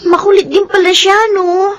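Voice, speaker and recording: A woman's voice: extremely high pitch, level tone, moderate pace. A Filipino woman playing a girlish, affectionate and chatty youngest daughter in a radio drama. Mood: teasing.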